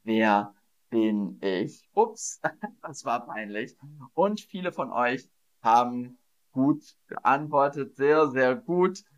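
Very slow-talking man quizzing learners. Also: medium pitch, lively intonation, clean tone, poor audio. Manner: slow and deliberate, repeats each phrase; laughs at his own slips. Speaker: German teacher. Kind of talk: slow, repetitive quiz lesson for learners.

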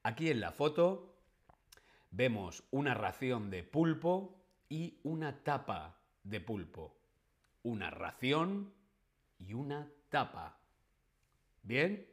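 Slow, patient Spanish teacher man. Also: mid-range voice, big pitch swings. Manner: slow, clear, repeats words for learners; reads viewer chat questions aloud. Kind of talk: live-streamed language lesson.

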